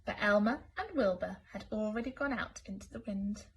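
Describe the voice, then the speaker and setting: A woman storyteller reading a children's picture book aloud in an expressive, deliberate way. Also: medium pitch, husky. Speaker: school storyteller.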